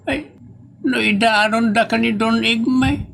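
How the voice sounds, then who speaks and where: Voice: medium pitch, steady tone, measured pace, vocal fry, a bit bright, slight background noise. A performer with an Ilocano accent playing an anxious, scolding elderly father in a comedy drama, worried and admonishing.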